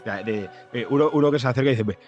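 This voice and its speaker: A man's voice: medium pitch, racing, dull audio, music in the background. A man from Madrid with a Spain Spanish accent, chatting anecdotally as a guest, often hesitating and repeating his words. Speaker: podcast guest, journalist and screenwriter. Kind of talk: casual anecdotal chat.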